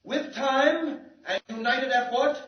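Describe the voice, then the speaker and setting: An actor playing a grave, urgent alien scientist who warns of doom in solemn, impassioned 1940s radio style. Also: male voice, very high voice, slow pace. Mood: earnest. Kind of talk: 1940s radio drama acting. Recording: dull old radio recording, echoey hall, distant.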